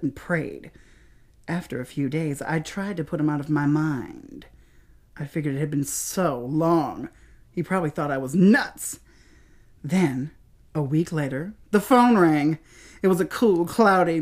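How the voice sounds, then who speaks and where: An American woman performing dramatic spoken-word storytelling in a theatrical way, with deliberate pauses and emphasis. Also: low voice, a little mellow.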